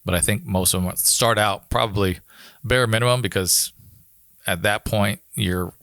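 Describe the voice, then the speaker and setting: A man with an American accent and a fairly low voice chats in an informal, explanatory way. The sound is close and dry, with very little room around him, as on a podcast. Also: fairly even intonation, moderate pace, fairly bright tone, no room sound. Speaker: air traffic controller, podcast guest. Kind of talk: casual chat, explaining.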